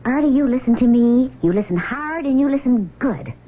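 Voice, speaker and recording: An actress playing a hard, ambitious, domineering wife with commanding, emphatic delivery in a 1970s radio drama. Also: female voice, high voice, animated, normal speed. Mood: demanding. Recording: tinny old radio broadcast, faint noise.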